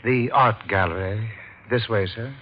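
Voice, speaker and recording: An actor playing a stiff, deferential old butler with disapproving manners in a 1940s radio drama. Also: male voice, fairly low pitch, unhurried, close to an everyday voice. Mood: polite. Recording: muffled old radio recording.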